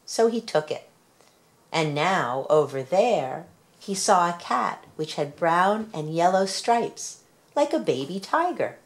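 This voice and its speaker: Female storybook reader, low register, animated and deliberate in phrasing. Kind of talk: children's story read aloud.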